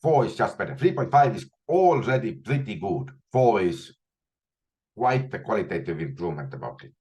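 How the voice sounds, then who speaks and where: A very deep-voiced man with an Estonian accent leads a live online coding lesson from a small room at home. He thinks aloud as he works, restarting and repeating words often. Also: sing-song, moderate pace, a little mellow. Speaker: instructor.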